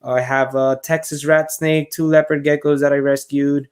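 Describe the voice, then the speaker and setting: A man's voice: on the high side, level tone, normal speed, darkish tone, clear, resonant tone. A man from Puerto Rico chatting casually as a podcast guest, with loose, informal, hesitant phrasing. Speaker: podcast guest, reptile keeper. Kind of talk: casual chat.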